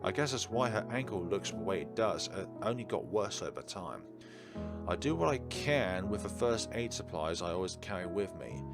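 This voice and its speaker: A man reading a visual novel aloud as a let's player, in an expressive narrating style. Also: deep voice, normal speed. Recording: game music behind the voice.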